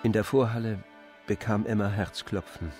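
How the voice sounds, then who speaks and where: A man's voice: fairly low pitch, level tone, normal speed, a little mellow. A man narrating a literary radio play in a scripted, storytelling style. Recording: music behind the voice. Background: music.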